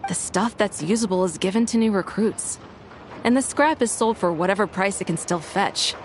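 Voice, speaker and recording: A woman's voice: mid-range voice, normal speed, close to an everyday voice. Casting: pragmatic female sergeant played by an actress, mid-range voice, blunt and resigned, faint background noise. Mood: matter-of-fact. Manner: matter-of-fact, resigned. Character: plain-spoken, pragmatic low-ranking army sergeant woman. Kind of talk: anime-style game voice acting.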